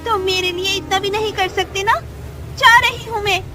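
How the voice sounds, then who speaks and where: A woman voicing a flirty, bossy, quick-tempered witch ghost in a cartoon, with a shrill, nagging delivery. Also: steady tone, over music. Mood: pouting, flirty. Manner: nagging, teasing. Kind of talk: animated horror-comedy story acting.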